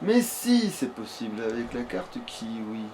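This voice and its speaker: A man's voice: medium pitch, a little slow. A man chats casually while gaming, rambling in a slangy, jokey way. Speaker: let's play gamer. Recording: noisy home recording, steady background noise.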